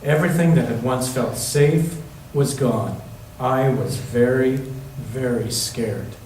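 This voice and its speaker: A man reading aloud the part of a gentle grandmother who recalls a frightening wartime childhood in a storybook. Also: mid-range voice, slow pace, close to an everyday voice. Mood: solemn, remembering. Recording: very echoey church room, distant mic, faint noise.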